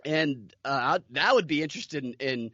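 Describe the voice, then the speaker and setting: A man's voice: mid-range voice, animated, moderate pace, a bit bright, no room sound, muffled recording. A man co-hosting a podcast, talking casually through the news. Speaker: podcast co-host.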